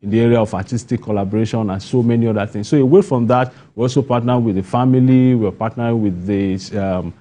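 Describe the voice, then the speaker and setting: Mellow Nigerian man, earnest and emphatic. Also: medium pitch, fast talker, hardly any pauses. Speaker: playwright and guest. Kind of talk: broadcast interview answers.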